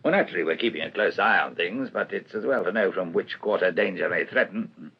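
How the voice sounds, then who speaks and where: A man acting in a radio drama as a genial, gentlemanly older police colonel with a hearty, formal courtesy. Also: low-pitched, brisk, a little hiss or hum. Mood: genial, obliging. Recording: muffled, lo-fi radio recording.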